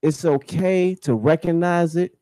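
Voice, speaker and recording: A man's voice: a little high, steady tone, unhurried, warm tone, no echo. A man hosting a radio talk show, speaking reflectively and repeating phrases for emphasis. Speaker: radio host.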